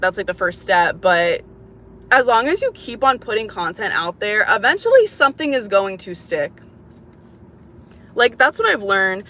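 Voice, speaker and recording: A bright-voiced young woman chats casually with her livestream viewers, circling back on herself with plenty of "like" and "you know." Her pitch sits at an ordinary middle height, and a faint hum runs under the talk. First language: English, also speaks Lithuanian. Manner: chatty, rambling, lots of "like". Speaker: YouTuber.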